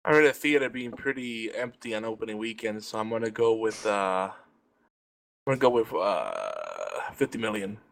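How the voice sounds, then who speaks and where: A man chatting casually as a panelist, halting as he searches for his words. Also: fairly low pitch, big pitch swings, vocal fry. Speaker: panelist guessing in a trivia game. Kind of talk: casual chat.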